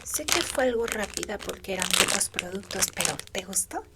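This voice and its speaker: A woman performing a whispered ASMR roleplay as a gentle, caring faun, breathy and tender as she speaks to the listener. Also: mid-range voice, animated, very noisy. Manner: slow, soothing, addressing the listener tenderly. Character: gentle, caring female faun, a magical companion.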